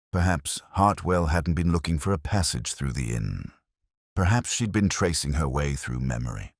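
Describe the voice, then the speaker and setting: A man narrating a scripted first-person detective story in a measured, literary, brooding way. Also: very deep voice, no echo, clean studio sound.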